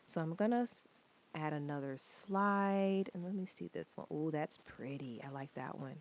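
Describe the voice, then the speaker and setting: A woman who runs a small craft business, talking through a design tutorial in a relaxed, chatty way with lots of filler words. She sounds congested from a cold. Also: low voice, moderate pace, lo-fi sound, a little hiss or hum. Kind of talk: casual screen-recorded how-to walkthrough.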